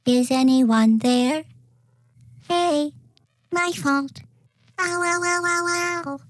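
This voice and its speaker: Childlike robot gun turret, high voice, very slow, innocent, politely menacing, video game. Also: female voice, no room sound, soft background music. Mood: meek, pained. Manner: soft, innocent, politely detached. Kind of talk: short video game voice lines.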